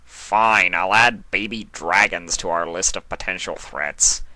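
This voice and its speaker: A man's voice: low voice, measured pace, bright, forceful tone, no echo, full character voice. A man voicing a gleeful, mischievous chaos spirit in a storybook fan fiction reading, theatrical and mocking. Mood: grudging.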